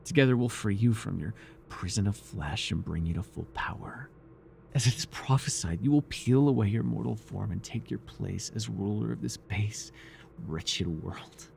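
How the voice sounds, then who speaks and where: Man acting a creaky-voiced, fawning cult servant. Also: on the low side, darkish tone, slight background noise. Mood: reverent, excited. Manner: reverent and fawning, halting, with sighs and stammers. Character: devoted, obsessive male cult servant captor of a dark god. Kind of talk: intimate ASMR roleplay acting.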